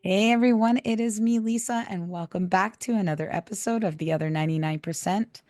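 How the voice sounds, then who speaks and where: A woman hosting a podcast in casual chat, her voice raspy and hoarse from laryngitis, chatty and laughing often. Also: mid-range voice, fairly quick, a little mellow, no echo, studio quality. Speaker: podcast host.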